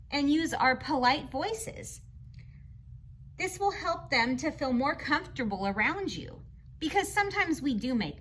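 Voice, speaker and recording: High-pitched, bright woman teaching young children. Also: slight background noise. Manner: instructive, simple child-directed phrasing, asks questions. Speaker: teacher reading a picture book aloud to children. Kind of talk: children's read-aloud lesson with explanations and questions.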